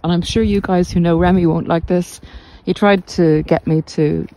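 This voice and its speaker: A middle-aged woman giving reflective, informal podcast advice in a measured, deliberate way with pauses. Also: lowish voice, fairly even intonation, warm tone, rough recording. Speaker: podcast host and narcissistic abuse recovery coach. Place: walk outdoors along the coast.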